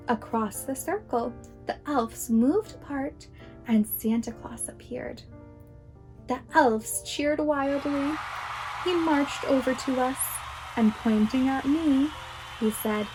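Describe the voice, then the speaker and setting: A woman reading a children's story aloud as a preschool teacher would, with dramatic, deliberate expression. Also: a little high, dark tone, rough recording. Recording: music behind the voice. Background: music.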